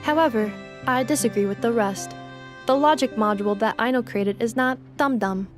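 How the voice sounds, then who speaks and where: Game android girl, female actor: slightly high, courteous, exacting, deadpan; faint music bed. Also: moderate pace, natural voice. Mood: matter-of-fact. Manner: formal, deadpan, precise wording. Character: literal-minded, polite robot girl with dry humor. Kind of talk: video game voice acting.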